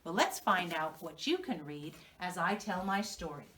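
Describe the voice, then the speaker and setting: A woman's voice: on the low side, animated, normal speed, fairly bright tone, recorded from a distance, a little hiss or hum. A woman with an American accent teaching young children to read, telling a story and prompting them with questions. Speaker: preschool teacher.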